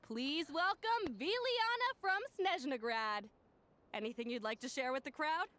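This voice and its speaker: A woman's voice: high voice, animated, unhurried, bright, forceful tone, heavily acted. A woman playing an upbeat, polished female event announcer in a video game, with a presentational manner. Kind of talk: game voice acting as event host.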